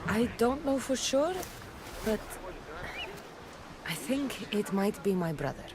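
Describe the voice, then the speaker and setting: A woman's voice: mid-range voice, big pitch swings, takes it slowly, crowd noise. An actress playing a determined young female warrior who talks directly and dryly, over game music.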